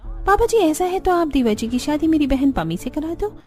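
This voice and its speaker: Snobbish cartoon daughter-in-law, acted by a woman: highish pitch, scornful, English phrases mixed in, music underneath. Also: very animated, moderate pace, darkish tone. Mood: scheming. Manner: mixes English phrases into speech.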